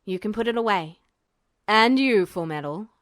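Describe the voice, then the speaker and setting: A woman's voice: medium pitch, expressive, normal speed, fairly bright tone, dry recording, clean studio sound, pitched below the performer's own voice, close to an everyday voice. A woman voicing a stern, authoritative male official in a fan fiction audiobook, giving clipped, imperious orders. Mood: commanding.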